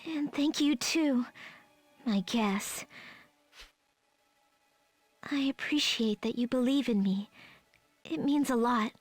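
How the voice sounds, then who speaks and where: An actress playing a teenage girl army medic in a video game, earnest, high-strung and tearful. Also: takes it slowly, long pauses, no echo. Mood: sincere, subdued. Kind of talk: dramatic video game voice acting.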